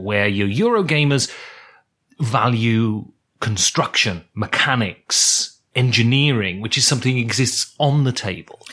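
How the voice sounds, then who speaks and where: A man's voice: mid-range voice, a bit bright. A man co-hosting a casual podcast about board games, joking and swearing freely, mocking himself. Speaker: podcast co-host.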